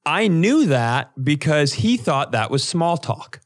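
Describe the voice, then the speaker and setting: A man co-hosting a comedy podcast, telling animated, casual stories and acting out the dialogue. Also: a little high, takes it slowly.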